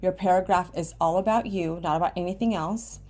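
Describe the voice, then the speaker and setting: A woman's voice: fairly low pitch, a little fast, mellow, faint music behind. American woman teacher giving step-by-step instructions. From Washington State, United States. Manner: simple instructional phrasing with checking okays. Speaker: ESOL writing teacher. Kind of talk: step-by-step screen tutorial for students. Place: indoors at a computer, screen recording with webcam.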